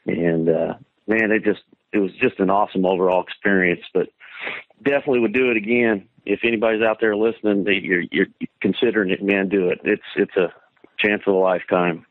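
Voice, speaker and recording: A man's voice: fairly low pitch, fairly even intonation, brisk, dry recording. A man from Kansas, a guest on a show, talking in an eager, casual way and saying "man" a lot over a phone line. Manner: enthusiastic, says man a lot. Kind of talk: phone-in interview.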